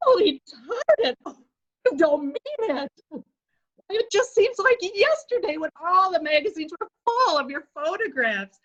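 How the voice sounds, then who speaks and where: A woman playing an outspoken woman friend in an amateur stage reading, exclaiming and animated. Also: very high-pitched, moderate pace, highs cut off. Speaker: reader in a play reading. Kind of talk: amateur readers' theatre acting.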